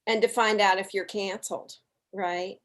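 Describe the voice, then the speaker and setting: A woman's voice: mid-range voice, steady tone, moderate pace, natural voice. An actress playing a teasing, needling mother of sixty with mock-sweet delivery in a comedic table read. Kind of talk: comedic dialogue reading.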